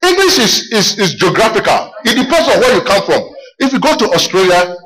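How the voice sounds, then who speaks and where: A middle-aged Ghanaian man preaches in a high voice, spinning personal stories into his sermon. He talks animatedly, doubles back on his words and calls out "Amen" to his listeners. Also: brisk, rough recording. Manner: animated storytelling, repeats himself, calls "Amen".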